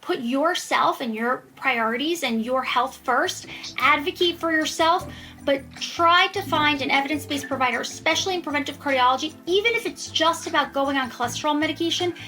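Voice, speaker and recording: A woman's voice: high voice, a little fast, pushed and bright. A woman speaking as an expert guest on a podcast, explaining things conversationally in an emphatic, reassuring way. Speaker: guest expert, preventive cardiologist. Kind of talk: conversational podcast interview answers.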